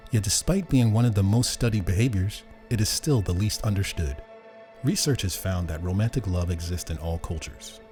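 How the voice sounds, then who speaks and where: A man with a fairly low voice reads documentary narration in a measured, even manner. Soft music sits faintly under him. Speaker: narrator and interviewer.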